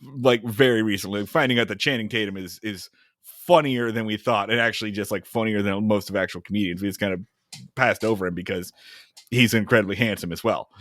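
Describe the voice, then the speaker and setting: A man's voice: fairly low pitch, fairly quick, no room sound. A man co-hosting a podcast, casually recapping an old comedy film and acting out the scenes in silly voices. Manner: animated, acts out scenes in funny voices, swears. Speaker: podcast co-host.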